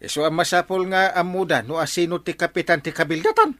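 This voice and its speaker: A man's voice: high voice, steady tone, fast talker, bright, forceful tone, no room sound. A man playing a boastful, hot-headed, comical village captain in an Ilocano radio drama, blustering and self-important with a Filipino accent. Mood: bossy. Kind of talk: comedic radio drama acting.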